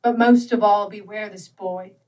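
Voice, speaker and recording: A woman's voice: medium pitch, steady tone, normal speed, darkish tone, distant mic, close to an everyday voice. A woman voicing a solemn, aging male Christmas ghost in a storybook reading, with grave and dramatic warnings. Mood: stern warning.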